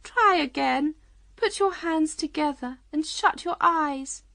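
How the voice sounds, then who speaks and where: High-pitched, excitable old queen. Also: slow, vocal fry, no echo, full character voice. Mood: cheerful, insistent. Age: older. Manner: shrill, emphatic, matter-of-fact about nonsense. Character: eccentric, excitable, scatterbrained old storybook queen.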